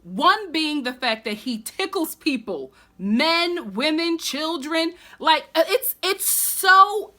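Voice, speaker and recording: A woman recapping a reality show in a casual, chatty vlog, animated and quick to laugh, still getting over the flu. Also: sits high. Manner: animated, laughs often, sassy asides. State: recovering from flu.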